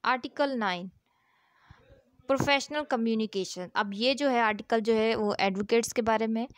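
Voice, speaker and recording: A woman's voice: on the high side, moderate pace, no room sound. A woman with a Pakistani accent lecturing on law, reading formal text aloud and explaining it in a didactic, repetitive way. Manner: didactic, repeats points and asks rhetorical check questions.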